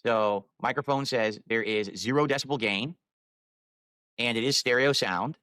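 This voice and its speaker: A man's voice: mid-range voice, normal speed, sharp tone, clean tone, no echo, studio quality. A man live-streaming, rambling while he fiddles with his microphone and audio settings.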